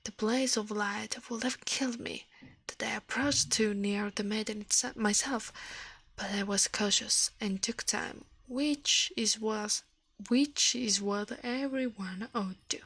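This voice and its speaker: A woman reading a story aloud in ASMR style, in a soft breathy whisper, halting now and then over the words. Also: mid-range voice, no echo. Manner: halting reading with stumbles and self-corrections.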